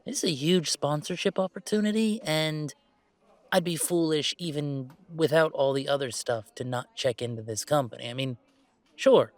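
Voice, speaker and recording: A man's voice: on the high side, moderate pace, dry recording, lightly acted. A man playing a weary but fond werewolf gamer who streams, bantering and complaining in a conversational roleplay audio. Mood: fond, earnest. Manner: sighing, bantering, mock-defensive complaining. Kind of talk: one-sided roleplay audio drama, conversational.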